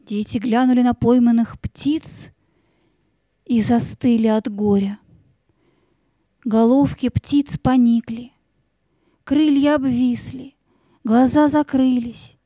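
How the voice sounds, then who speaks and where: A woman's voice: on the high side, fairly even intonation, slow, pauses often, dark tone, no room sound. A woman narrator telling a fairy tale expressively in an audio play.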